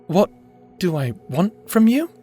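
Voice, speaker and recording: A performer playing an insecure, emotional young male wizard, earnest and halting, in a fantasy audio roleplay. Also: mid-range voice, big pitch swings, dark tone, faint music behind. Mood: wary, startled. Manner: halting, stammering, pleading.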